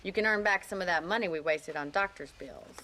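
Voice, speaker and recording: A woman's voice: fairly low pitch, brisk, pushed and bright, a little hiss or hum. An actress playing a spirited young American ranch woman in a video game, forthright, wry and playful. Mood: brisk, wry. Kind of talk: video game cutscene acting.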